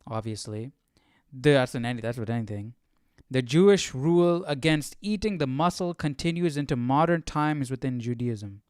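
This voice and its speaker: A man's voice: mid-range voice, normal speed, hardly any pauses, a little mellow, clean tone, no room sound, clean studio sound. A man hosting a Bible study livestream in casual chat, questioning and thinking aloud informally. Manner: informal, questioning, muttering to himself.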